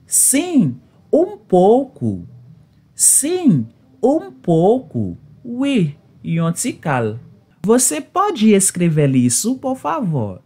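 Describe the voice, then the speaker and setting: A woman teaching phrases, saying each one twice slowly and deliberately, then giving the Haitian Creole translation; her first language is Haitian Creole. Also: medium pitch, warm tone, breathy edge. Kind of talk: slow phrase drills, repeating each phrase.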